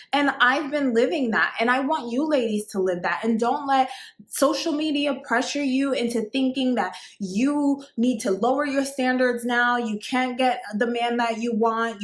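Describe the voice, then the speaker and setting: Brief: thirties woman, dating coach, a bit high-pitched, emphatic chatty delivery, roomy echo. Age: 30s. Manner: chatty, emphatic, repeats "like" and "actually". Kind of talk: direct-to-camera advice talk.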